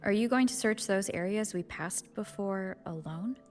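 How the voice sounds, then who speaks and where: An actress playing a composed, curious young woman adventurer in a video game, calm and gently probing, with music behind her. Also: medium pitch, moderate pace, lightly acted. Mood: teasing, curious. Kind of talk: scripted video game dialogue.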